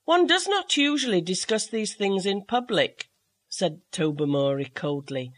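A woman's voice: mid-range voice, animated, measured pace. A woman voicing an aloof, clever male house cat who talks in a cold, indifferent, cutting way.

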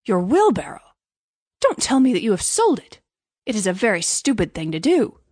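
A woman's voice: mid-range voice, wildly swooping pitch, moderate pace, a little mellow, slightly rough, dry recording, clean studio sound. A woman voicing a pompous, greedy male miller in a storybook, preachy and self-satisfied while posing as generous. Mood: smug, scolding. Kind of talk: storybook character voice.

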